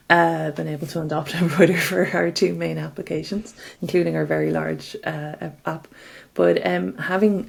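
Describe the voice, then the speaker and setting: Female interview guest, deep register, extremely heavy vocal fry, halting conversational phrasing. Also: a little mellow. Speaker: company representative, interview guest.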